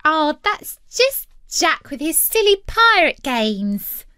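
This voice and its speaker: Woman putting on a high-pitched, excitable little girl. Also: slow, dry recording, clean studio sound, full character voice. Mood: dismissive. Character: young girl, excitable sister of a boy. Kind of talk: children's storybook reading.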